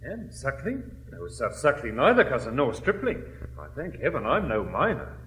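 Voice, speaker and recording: An actor playing a bashful, blustering country squire in a period comedy, rambling and repeating himself in an English accent. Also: male voice, medium pitch, wildly swooping pitch, normal speed, fairly bright tone, slight background noise, low-quality recording. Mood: awkward, polite. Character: bashful, blustering, good-natured country squire, clumsy suitor. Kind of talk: classic stage comedy acting.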